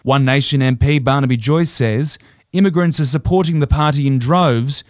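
A man delivering a radio news report in a crisp, formal manner. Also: medium pitch, moderate pace, dry recording, studio quality.

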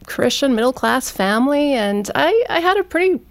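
A woman in her thirties, a teacher and guest, telling her story in a quick, chatty way. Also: sits high. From Canada. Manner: quick, lively, chatty with fillers. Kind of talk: casual storytelling interview.

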